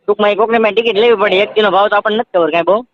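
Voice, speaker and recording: A man's voice: sits high, steady tone, very fast. A man with a Saurashtra Gujarati accent explaining things informally and repetitively in a casual phone chat. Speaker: seasonal event catering worker. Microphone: phone call. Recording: dull lo-fi phone call recording.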